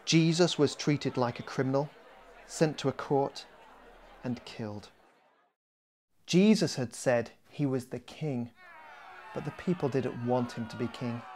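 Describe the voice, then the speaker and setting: A man reading a children's mystery story expressively, as its author, with deliberate dramatic pauses. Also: medium pitch, darkish tone, a little hiss or hum.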